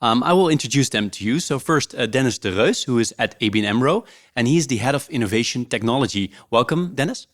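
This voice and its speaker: A man's voice: mid-range voice, expressive, fast, few pauses. A man hosting a podcast interview, talking casually and hesitantly with many fillers. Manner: warm, hesitant, many ums. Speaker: podcast host.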